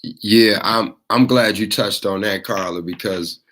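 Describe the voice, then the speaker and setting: Low-voiced male moderator from Indiana, easygoing, chuckles between remarks. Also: a little slow. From Gary, Indiana. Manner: relaxed, laughing asides, thanks speakers by name. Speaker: panel moderator. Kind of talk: casual panel discussion.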